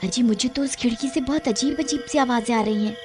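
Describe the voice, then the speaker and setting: A woman's voice: a little high, a little fast, a little mellow, soft background music, lightly acted. A woman voicing an anxious, pleading, easily frightened wife in a cartoon story. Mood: urgent pleading. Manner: pleading, fretful. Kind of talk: cartoon voice acting.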